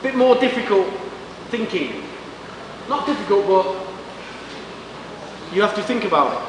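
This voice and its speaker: A man's voice: high voice, expressive, a little slow, recorded from a distance. A man with a Manchester accent teaching English to a class, speaking in short, simple sentences and quizzing students. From England. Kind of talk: classroom lecture. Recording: echoey classroom recorded from afar with background hiss.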